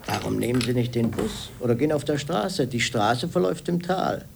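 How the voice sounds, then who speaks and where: An actor playing a gruff hotel local in a radio play, curt and dismissive as he gives directions. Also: medium pitch, lively intonation, brisk, noisy background. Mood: gruff indifference.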